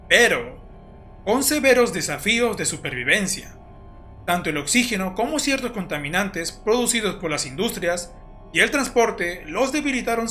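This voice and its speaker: Video essay narrator, really animated pitch, explains stuff like a story, bit of music behind. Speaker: host and narrator of a horror analysis channel.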